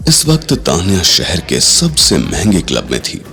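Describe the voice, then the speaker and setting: A man's voice: low voice, wildly swooping pitch, moderate pace, pushed and bright, soft background music. A man narrating a scripted audio drama in a dramatic storytelling style.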